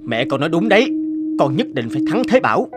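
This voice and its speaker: A highish-voiced pushy cartoon dad. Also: male voice, sing-song, fast talker, loud music behind the voice. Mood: stern. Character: proud, pushy, demanding father of a boy. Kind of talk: cartoon voice acting.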